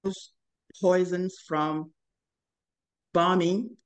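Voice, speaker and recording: A woman in her fifties from Cameroon, telling her life story as an interview guest in an earnest, halting way with many pauses. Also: lowish voice, steady tone. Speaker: guest, inventor and company founder.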